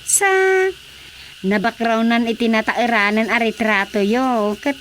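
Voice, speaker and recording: A woman's voice: a little high, fairly even intonation, a little slow, fairly bright tone. A woman playing a fond, teasing Filipino daughter in a comedic radio drama, with playful, exclamatory delivery. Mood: admiring, playful. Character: fond, teasing daughter of an older village chairman. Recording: faint background noise.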